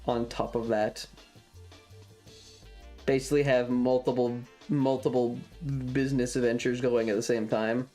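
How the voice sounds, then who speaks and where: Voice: medium pitch, little pitch movement, a little slow, mellow, faint music behind. A young adult streamer chatting casually to viewers in a hesitant, rambling way that often repeats phrases. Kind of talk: casual livestream chat.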